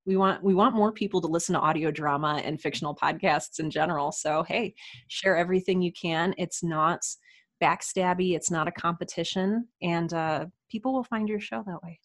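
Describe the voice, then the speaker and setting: A woman's voice: on the low side, normal speed, a bit bright. A woman chatting casually and enthusiastically as a guest in an informal interview. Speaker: podcast guest, writer and audio drama producer.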